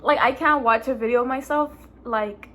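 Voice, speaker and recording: A young woman with a fairly high voice and a Spanish accent chats casually. Her first language is Spanish. There is noticeable noise in the background. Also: moderate pace, pushed and bright. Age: young adult. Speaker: guest, best friend of the host. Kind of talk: casual chat.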